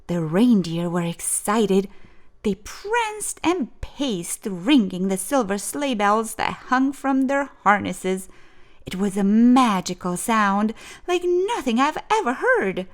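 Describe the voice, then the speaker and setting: Female storyteller, high voice, very lively intonation, expressive children's read-aloud with dramatic pauses. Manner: animated, deliberate, dramatic pauses.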